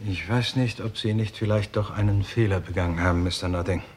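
Deep, husky, reflective writer-narrator. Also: male voice, steady tone, brisk. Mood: doubtful, hesitant. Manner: reflective, tentative, wry. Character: hesitant, wry male writer who narrates the case. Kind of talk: 1960s German radio drama acting and narration.

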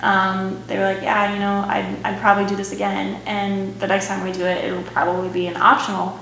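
Female teacher co-host, mid-pitched, hesitant and thoughtful; echoey room with faint background noise. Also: completely flat delivery, brisk, vocal fry, a bit bright. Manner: thoughtful, hesitant, many ums and likes. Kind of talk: casual educational podcast chat.

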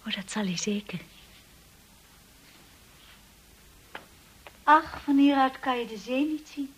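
An actress playing a timid, anxious young bride in a radio play, narrating and speaking in a hushed, hesitant way. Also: female voice, high-pitched, expressive, moderate pace. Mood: polite, timid. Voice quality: breathy, husky.

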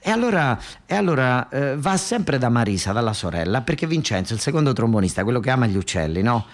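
A man's voice: mid-range voice, sing-song, quick pace. A man with a southern Italian accent telling a story as a colloquial theatrical monologue, emphatic and repetitive, often putting questions to his listeners. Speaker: solo stage storyteller and actor.

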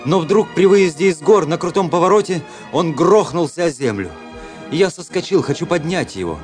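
A man's voice: on the high side, lively intonation, moderate pace, close to an everyday voice. An actor playing an anguished, brooding officer who narrates his lost love in a radio drama, with theatrical, intense phrasing. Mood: exhausted despair. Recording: prominent music under the voice. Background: music.

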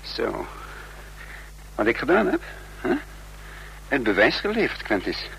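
An actor playing a shrewd, commanding operative in a radio drama, sardonic and controlled, with formal literary wording. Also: male voice, medium pitch, big pitch swings, a little slow, vocal fry, a bit bright, full character voice. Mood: brisk, commanding. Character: shrewd commanding man, a cool seasoned operative who narrates. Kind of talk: radio drama acting and narration. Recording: noisy, humming old radio drama recording.

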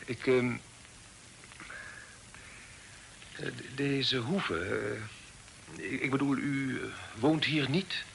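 An actor playing an honest, dutiful young gentleman in a period radio drama, speaking formally and earnestly. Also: mid-range voice, steady tone, slow, vocal fry. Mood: polite, hesitant. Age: young adult. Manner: earnest, formal, sometimes hesitant. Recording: noisy old radio drama recording.

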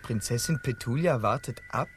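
An actor playing an earnest, impatient young former prince on a quest in a radio play, insistent and questioning. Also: male voice, medium pitch, moderate pace, slight background noise, lightly acted. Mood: amazed, anxious.

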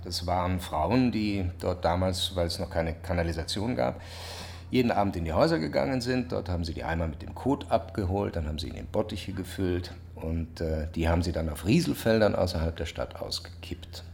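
An actor playing a calculating, evasive company boss in a radio drama, with measured corporate talk. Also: male voice, low voice, creaky, a little mellow, a little hiss or hum. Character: smooth, evasive, calculating male water-company CEO. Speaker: corporate CEO.

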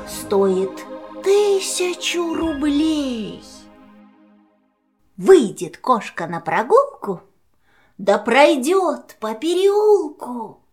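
A woman storyteller narrating a rhymed fairy tale for children in a measured way, with deliberate pauses between phrases. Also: on the high side.

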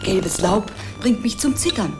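A woman's voice: mid-range voice, expressive, unhurried, music in the background, close to an everyday voice. An actress playing a bold, dreamy stowaway woman in a radio play, speaking in a poetic, dramatic and coolly teasing way. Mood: rapturous, dramatic. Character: dreamy, bold female stowaway, theatrically romantic and self-possessed. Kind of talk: German radio play acting.

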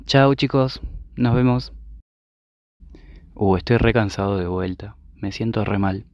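A man's voice: fairly low pitch, measured pace, no echo, clean studio sound, close to an everyday voice. A young man with an Argentine accent playing an anxious, tired university student in a student radio drama. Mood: weary, uneasy. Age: young adult. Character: anxious, weary nineteen-year-old male university student.

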